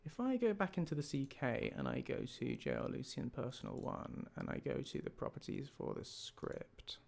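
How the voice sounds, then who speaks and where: A man's voice: medium pitch, big pitch swings, normal speed, creaky, darkish tone. A man hosting a livestream as a game mod developer, talking casually and muttering to himself as he works through problems. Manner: thinking aloud, muttering to himself. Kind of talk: casual livestream talk while troubleshooting.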